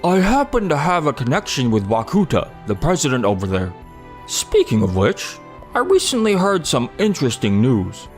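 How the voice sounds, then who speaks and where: A man voicing a humble, sincere young salesman in a manga dub, gentle and earnest. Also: mid-range voice, huge pitch swings, moderate pace, faint music behind. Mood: friendly. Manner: earnest, modest. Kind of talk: anime-style dubbed dialogue.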